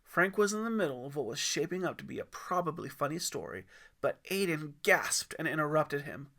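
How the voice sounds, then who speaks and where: Male audiobook narrator, expressive storytelling, creaky bright voice. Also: on the high side, brisk.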